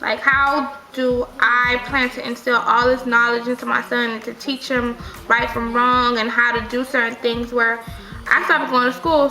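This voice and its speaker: Bright-voiced young woman vlogging. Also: on the high side, echoey room, rough recording. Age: 20s. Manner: rambling, frequent self-corrections and "like" fillers. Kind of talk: casual opinion monologue.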